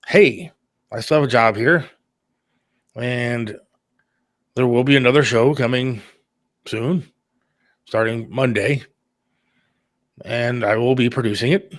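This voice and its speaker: A man's voice: mid-range voice, slow pace, long pauses, dry recording, clean studio sound. A middle-aged man talking candidly and casually on a talk show, plain-spoken and a little defensive. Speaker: radio producer and talk show host.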